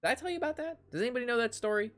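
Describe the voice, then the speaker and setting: A man's voice: very high voice, lively intonation, very fast, a bit bright. A young American man telling a casual, rambling story to his stream chat, swearing freely and exaggerating for comic emphasis. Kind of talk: casual anecdote told to chat while gaming.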